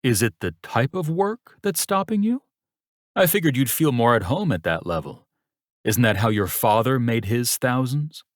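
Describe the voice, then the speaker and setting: A man's voice: low voice, lively intonation, normal speed, dry recording, clean studio sound. A man voicing a smug, rich older businessman in an audiobook, talking down to someone in a condescending, contemptuous, taunting way. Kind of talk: audiobook character dialogue.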